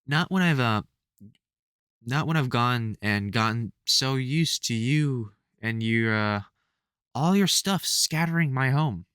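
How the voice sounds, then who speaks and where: A man playing a soft-hearted, protective vampire hunter mentor in an intimate audio roleplay, speaking fondly and hesitantly with wry exasperation. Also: medium pitch, expressive, takes it slowly, clean tone, no room sound, studio quality. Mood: tender, relieved. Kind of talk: intimate audio roleplay acting addressed to the listener.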